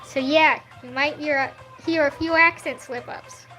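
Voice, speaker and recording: High-pitched, unhurried streaming artist woman. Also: fairly even intonation, sharp tone, faint music behind, poor audio. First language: not English. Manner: chatty, answers chat questions, laughs often. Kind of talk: casual livestream chat while drawing.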